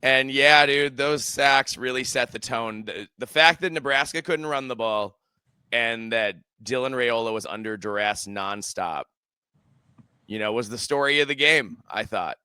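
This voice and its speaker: A man in his thirties talks football on a home podcast, his voice bright and crisp despite the strain from yelling at a game. He piles on stats with growing excitement. The sound is close and dry. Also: medium pitch, measured pace, dry recording. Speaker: podcast co-host. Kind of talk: casual sports chat. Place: home room, indoors. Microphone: headset with studio mic.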